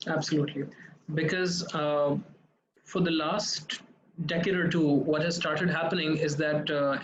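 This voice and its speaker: A man from Pakistan, a consultant guest, explaining his points in a measured way. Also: fairly high pitch, background noise. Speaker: guest, social impact consultant. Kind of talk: interview answers.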